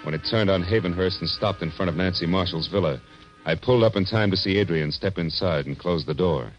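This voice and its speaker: Man playing a deep-voiced hardboiled American private eye. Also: fairly quick, faint music behind, close to an everyday voice. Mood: matter-of-fact narrating. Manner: clipped, slangy, wisecracking. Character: tough wry male private detective narrating his case. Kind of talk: 1940s radio acting, hardboiled first-person narration. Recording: dull, noisy old radio recording.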